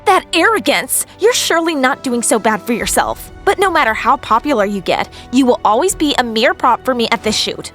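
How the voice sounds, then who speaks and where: Haughty, high-voiced spiteful young model. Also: female voice, big pitch swings, a little fast, hardly any pauses, a bit bright, soft background music. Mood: smug, taunting. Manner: haughty, taunting. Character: arrogant spiteful young female model, scheming mistress. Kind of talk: audio drama acting.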